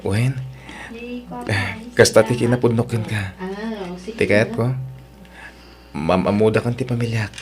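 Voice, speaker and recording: A man's voice: lowish voice, unhurried, long pauses, music in the background, natural voice. A man playing a humble young boyfriend in an audio drama, speaking with a Filipino accent. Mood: earnest, affectionate. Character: humble young man introducing his girlfriend to his parents. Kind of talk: Ilocano audio drama acting.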